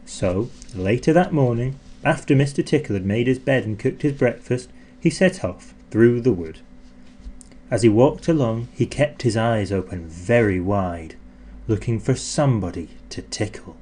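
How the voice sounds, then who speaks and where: A male storyteller with a mid-pitched voice reads to children as an audiobook narrator. His delivery is theatrical and expressive, stretching key words for effect. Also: normal speed, husky.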